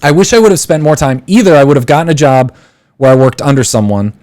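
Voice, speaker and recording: A man's voice: medium pitch, expressive, fast, a little mellow. A man in his thirties hosting a live call-in show, giving casual advice in a rambling way with many fillers and restarts. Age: 30s. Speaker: podcast host.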